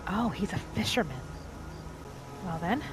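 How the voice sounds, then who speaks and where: A gentle female wood elf wanderer , voiced by a woman player, musing softly to herself as she travels through a fantasy video game. Her voice sits at an ordinary pitch for a woman and has a homesick, reflective temper. Music and rain play clearly behind her. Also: lively intonation, measured pace, natural voice. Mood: musing, curious. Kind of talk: first-person roleplay narration of a video game.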